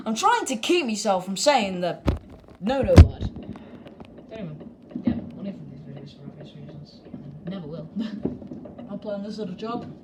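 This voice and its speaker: A woman's voice: mid-range voice, animated, normal speed, long pauses, very noisy. A young woman, rambling and joking casually as a vlogger while filming fireworks at home on a handheld camera. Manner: rambling, self-deprecating, mild swearing. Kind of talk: casual vlog commentary.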